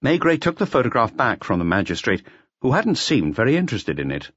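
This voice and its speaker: A man's voice: mid-range voice, wildly swooping pitch, a little fast, a bit bright, breathy edge, dry recording, muffled recording. A man narrating an audiobook in a scripted, dramatic storytelling style.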